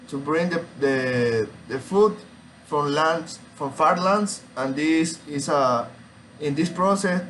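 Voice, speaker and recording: Slow, halting young man presenting. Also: a little high, fairly bright tone, a little hiss or hum, low-quality recording. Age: young adult. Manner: halting, hesitant, searching for words. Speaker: student. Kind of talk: informal student oral presentation. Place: small room at home, indoors.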